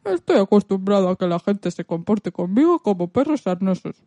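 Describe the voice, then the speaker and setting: A weary male donkey with big hopes , acted by a woman in a storybook radio play. The voice is mellow and mid-pitched, swinging widely as it laments and declaims theatrically. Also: very animated, fairly quick, no room sound. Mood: plaintive, hopeful. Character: weary but hopeful male donkey dreaming of music. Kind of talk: radio theatre fairy tale acting.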